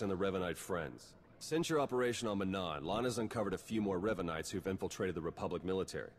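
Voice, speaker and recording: A man's voice: low voice, big-room sound, lightly acted. A man playing a wry, driven young spy agent in a video game, with a dry, sardonic manner. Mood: serious, briefing. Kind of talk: video game cutscene acting.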